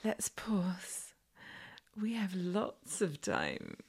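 Sultry, doting masseuse for adult audio roleplay, played by a woman: very husky, breathy, often whispered, drawn-out soothing delivery. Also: lowish voice, wildly swooping pitch, exaggerated voice. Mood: soothing, teasing. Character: flirtatious, fawning adult masseuse doting on a client. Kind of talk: erotic audio roleplay, ASMR-like.

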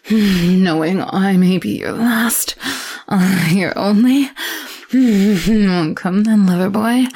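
A woman playing a cruel, seductive captor with a knife, talking in a slow, teasing, mocking way that often drops to a whisper. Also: medium pitch, darkish tone, breathy edge. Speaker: audio roleplay performer. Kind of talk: intimate audio roleplay acting.

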